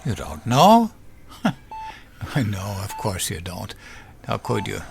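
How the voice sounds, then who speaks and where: Frail, affectionate old grandpa in a radio play: low, gravelly, halting. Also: male voice, big pitch swings, full character voice. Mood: rueful. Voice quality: creaky. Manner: halting, tender. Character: gentle dying old grandfather, wistful and affectionate. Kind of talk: audio drama acting.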